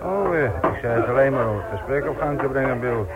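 Actor as sardonic inspector, dull old recording. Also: male voice, mid-range voice, expressive, brisk, very noisy, natural voice. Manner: dry, probing, ironic. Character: stubborn, sardonic male police inspector. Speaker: actor in a radio play. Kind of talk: Dutch radio drama acting. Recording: dull, band-limited old radio recording.

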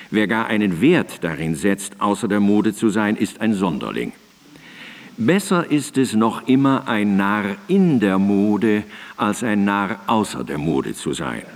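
Didactic philosopher in a reverberant hall. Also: male voice, lowish voice, heavily acted. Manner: precise, emphatic, didactic. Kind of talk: radio drama monologue, formal scholarly recitation. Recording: reverberant hall, radio drama on cassette.

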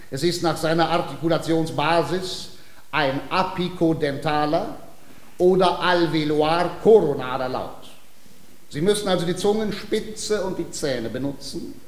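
Man playing a pedantic tutor, rather high voice. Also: roomy sound, close to an everyday voice. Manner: didactic, precise, formal. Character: pedantic, patient male language tutor, self-important. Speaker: actor in a radio drama. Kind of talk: theatrical classic-comedy radio acting.

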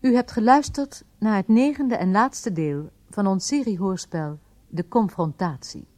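A woman announcer reading scripted radio credits in a formal, clearly articulated manner. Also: mid-range voice, lively intonation, measured pace, darkish tone, no room sound.

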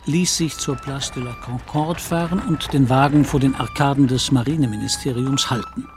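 A man's voice: medium pitch, gravelly. Male radio play narrator over music. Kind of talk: scripted literary narration in a German radio play.

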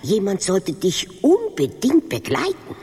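An actress playing an ancient, fearful, self-important female mouse in a children's radio play, husky and halting, with portentous delivery. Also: mid-range voice, wildly swooping pitch, darkish tone, heavily acted. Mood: grave. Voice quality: husky, raspy. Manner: halting, portentous, repeats words. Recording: long reverberant hall.

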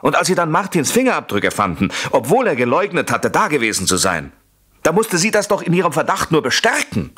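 An actor playing a scheming, jealous husband who explains himself insistently and articulately to a police inspector in a German radio play. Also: male voice, fairly high pitch, wildly swooping pitch, a little fast, fairly bright tone, husky, full character voice. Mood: calculated confession.

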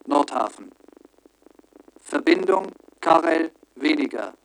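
A man's voice: on the high side, rough recording. An actor in a German radio drama voicing a male telephone connection announcer, formal and repetitive, with faint noise. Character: impersonal slow-speaking telephone connection announcer.

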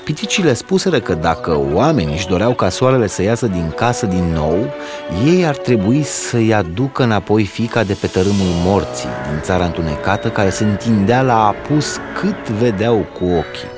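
Man, folk tale narration, lowish pitch, dramatic expressive reading, clear music behind the voice. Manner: expressive, dramatic read-aloud delivery. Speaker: storyteller reading folk tales aloud.

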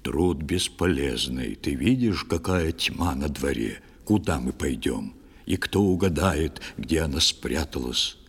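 Husky old fisherman with wide, dramatic swings. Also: very deep voice, expressive, a little slow, exaggerated voice. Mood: resigned. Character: sorrowful, pious old fisherman telling his tale. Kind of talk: dramatic verse storytelling in an audio play. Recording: long studio reverb over music.

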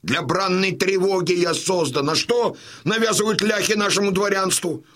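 An actor playing a boisterous, domineering old Cossack colonel and father in a Russian radio drama, with a rough, commanding, folksy delivery. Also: high voice, moderate pace, a bit bright. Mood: fiery, defiant. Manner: commanding, hearty, folksy, declamatory.

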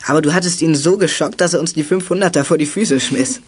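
A man's voice: on the high side, quick pace, slightly rough. An actor playing a wry, chatty boy who leads young detectives in a German youth radio play.

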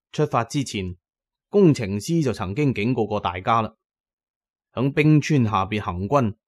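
A man with a Cantonese accent narrating an adventure novel as scripted, dramatic storytelling. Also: medium pitch. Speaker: audiobook narrator.

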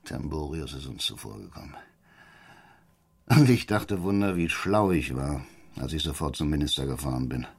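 Blustering, commanding mayor. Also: male voice, very deep voice, expressive, moderate pace, husky, full character voice. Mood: bitter, defiant. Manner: emphatic, sardonic, commanding. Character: blustering, cunning small-town mayor, crude and domineering. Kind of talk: German radio drama acting.

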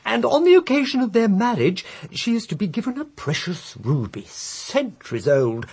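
A man's voice: high voice, very animated, moderate pace, a little mellow, full character voice. A man playing a polished, persuasive British diplomat in a radio drama, with an English accent and a formal, emphatic manner. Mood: grave, formal. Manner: smooth, formal, emphatic, circumlocutory.

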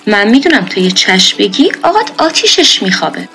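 A woman's voice: medium pitch, sing-song, pushed and bright, soft background music, poor audio. A woman playing a proud, fretful middle-aged village mother, folksy and lamenting, in a period radio drama. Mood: pleading, scolding. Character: proud, fretful village-born mother, khan's daughter, doting and scolding.

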